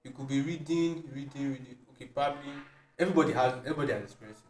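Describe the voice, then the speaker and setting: A man's voice: medium pitch, very fast, background noise. A young man with a Nigerian accent in casual chat, playful and repeating his words for effect. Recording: echoey room.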